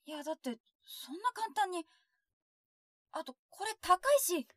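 A woman's voice: very high-pitched, animated, unhurried, a bit bright, no room sound, studio quality, full character voice. A woman playing an earnest, pleading schoolgirl daughter with eager, hesitant phrasing in an audio drama. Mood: surprised, hesitant. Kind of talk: Japanese audio drama acting.

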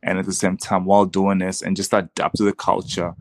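A man from the Congo who grew up in refugee camps and Australia, a native Swahili speaker, telling his story reflectively and haltingly as an interview guest. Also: low voice, monotone, a little hiss or hum. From the Congo, raised in Zimbabwean refugee camps and Australia. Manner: halting, with frequent repeats and "you know".

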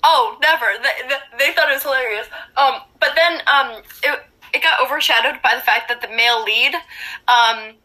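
A young woman chatting with a stranger over video chat, telling stories in an animated way. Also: sits high, normal speed, poor audio. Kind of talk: casual chat.

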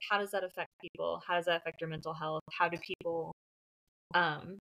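A woman's voice: lowish voice, steady tone, fairly quick, creaky, fairly bright tone, rough recording. A woman discussing books casually as a co-host, explaining her points in a conversational way. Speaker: book podcast co-host.

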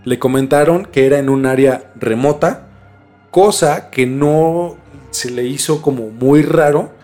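A man's voice: on the high side, a little slow, dark tone. A man with a Mexican accent hosting a podcast, mixing narrated storytelling with slangy, colloquial chat. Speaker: podcast host. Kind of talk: conversational storytelling mixed with scripted narration. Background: music.

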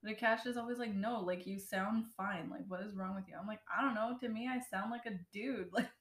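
Woman, casual podcast chat, swears often, mid pitch, roomy echo. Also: fairly quick. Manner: chatty, swears a lot, laughs often. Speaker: podcast co-host. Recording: echoey room.

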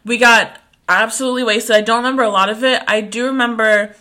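The girl in the next dorm room recounting her weekend to friends, her voice high and sharply bright. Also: fairly even intonation, fast. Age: 20s. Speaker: podcast co-host. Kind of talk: casual chat and storytelling.

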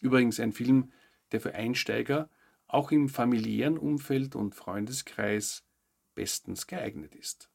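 A man's voice: medium pitch, unhurried, vocal fry, a little mellow, husky. A man hosting a news update, reading scripted narration with short commentary. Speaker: host of a weekly news update show.

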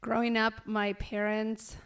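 Young woman from argentina, smooth clean voice, easygoing and sincere. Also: a little high, unhurried. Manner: relaxed, earnest, many ums. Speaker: guest. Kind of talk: casual interview storytelling.

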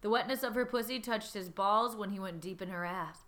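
A woman co-hosting a comedy podcast, giving a deadpan dramatic reading that keeps breaking into laughter. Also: mid-range voice, brisk. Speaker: podcast co-host reading fan fiction aloud.

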